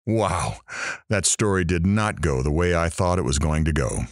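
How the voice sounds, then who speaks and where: An actor playing a flippant, nosy radio talk show host with a theatrical, teasing delivery. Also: male voice, low-pitched, normal speed, no room sound, studio quality. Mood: showy. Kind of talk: radio host patter in an audio drama.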